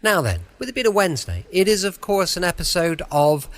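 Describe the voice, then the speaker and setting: A man's voice: sits high, very animated, brisk, no room sound. A man with an English accent giving a casual, rambling, jokey introduction as a podcast host.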